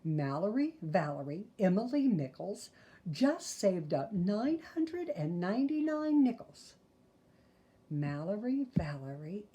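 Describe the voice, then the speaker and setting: An older woman reads a storybook aloud to young children in a soft-toned, mellow voice. She takes her time over each phrase at a very slow pace, slipping in gentle asides to the listeners. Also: medium pitch. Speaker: storyteller reading a children's picture book aloud.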